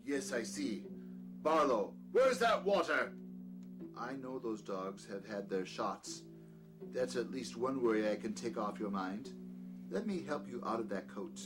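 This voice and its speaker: A man's voice: mid-range voice, lively intonation, unhurried, natural voice. Peculiar doctor male actor, audio drama. Outwardly gracious, secretly sinister, domineering, very varied intonation, faint music behind. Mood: apologetic, attentive. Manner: courteous, old-fashioned, commanding when crossed. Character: peculiar, scheming doctor, outwardly courteous, domineering to his servant.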